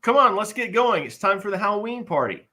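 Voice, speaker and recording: Crabby cartoon little girl, played by an adult, brash and scolding, bright voice with animated swings. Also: mid-range voice, fairly quick. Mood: bossy, scolding. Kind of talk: live comedic table read of a cartoon.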